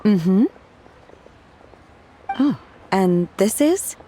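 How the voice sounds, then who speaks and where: A woman voicing a calm, earnest, literal-minded disciple working as a waitress, polite and matter-of-fact, in a video game. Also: mid-range voice, drawn-out words, noisy background.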